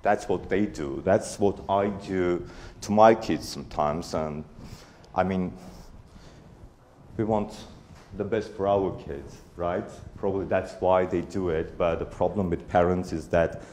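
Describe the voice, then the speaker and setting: A man's voice: low voice, a little slow, a little mellow, slight background noise. A man in his forties speaking at a conference in a hall before an audience, hesitant, with many fillers and restarts. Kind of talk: conference talk.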